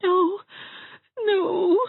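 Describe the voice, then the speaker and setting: Weepy childish young bride, very high, stammery and sobbing, tinny phone-like audiobook sound. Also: female voice, normal speed, dry recording. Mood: tearful, whiny. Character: whimpering, childish young bride-to-be, frightened and self-pitying. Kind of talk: audiobook character voice. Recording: thin phone-like narrow-band sound.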